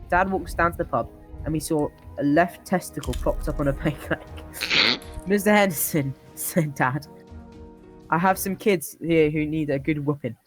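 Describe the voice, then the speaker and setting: A person reading a comic tall tale as an old-timer recalling a harsh childhood, often stumbling and breaking into laughter. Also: medium pitch.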